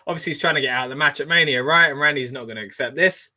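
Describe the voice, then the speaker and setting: A man reacts live as he hosts a watch-along. He talks in a chatty, excitable way and reads out viewer comments between his reactions. His voice is forceful and bright and sits a little high. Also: very fast. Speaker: wrestling watch-along host.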